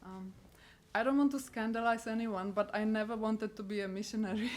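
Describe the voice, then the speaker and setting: A middle-aged woman with a Czech accent, a missionary, giving a personal testimony to an audience and often pausing to search for words. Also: medium pitch, fairly even intonation. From Czech Republic. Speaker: missionary speaker.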